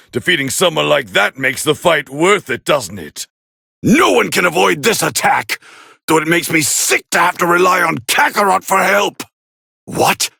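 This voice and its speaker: A man playing a proud, hot-tempered alien warrior prince with a raspy voice, calling fights with scorn in an anime video game dub. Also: high voice, no room sound, clean studio sound, heavily acted. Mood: shouting, incensed. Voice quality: gravelly, raspy. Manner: haughty, scornful, biting, forceful. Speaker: fight commentator character.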